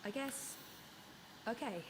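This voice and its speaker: A woman's voice: fairly high pitch, slow, noisy background. An actress playing an earnest, curious young woman adventurer in a video game, speaking casually and naturally. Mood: hesitant.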